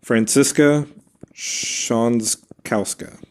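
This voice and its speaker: A man hosting a podcast, reading scripted history narration in a measured storytelling way with casual asides. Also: mid-range voice, a little hiss or hum. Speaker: podcast host.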